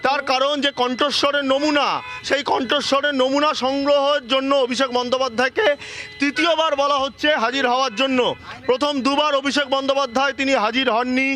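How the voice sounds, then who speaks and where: Male news reporter, extremely high pitch, sharp forceful tone, halting live delivery. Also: little pitch movement. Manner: halting, frequent hesitations.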